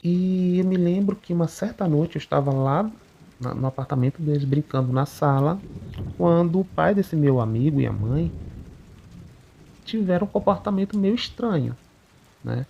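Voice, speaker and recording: A man narrating a first-person supernatural account in a conversational, unhurried way, often adding little tag questions. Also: fairly high pitch, lively intonation, gravelly, slightly rough. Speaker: channel narrator reading a listener's account. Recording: muffled recording with faint rain behind.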